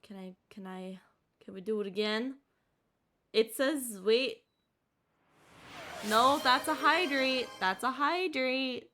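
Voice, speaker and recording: A woman's voice: a little high, slow, long pauses, a bit bright. A woman streamer chatting casually to her live audience in a bubbly, giggly way. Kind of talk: casual livestream chat.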